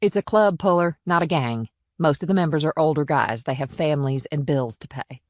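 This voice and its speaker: A man's voice: a little high, fairly quick, dry recording, voice pushed up, natural voice. A firm small-town policewoman, acted out by a male narrator in a crime audiobook. The delivery is plainspoken and matter-of-fact, with a protective edge toward the locals. The sound is dry, with very little room. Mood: defensive. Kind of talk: audiobook character dialogue.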